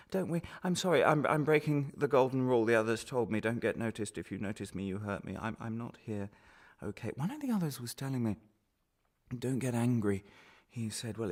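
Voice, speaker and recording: Low-voiced anguished prisoner played by a man. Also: natural voice. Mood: agitated, confiding. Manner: halting, repetitive, questioning, swinging into angry outbursts. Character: anguished male prisoner held without trial, bitter, rambling, defiant. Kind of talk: radio drama monologue.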